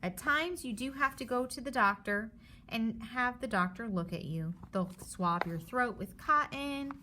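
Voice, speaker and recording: A woman's voice: on the high side, animated, unhurried, sharp tone, a little hiss or hum. A woman reading a children's book aloud in an instructive way, adding simple explanations and often checking that listeners follow.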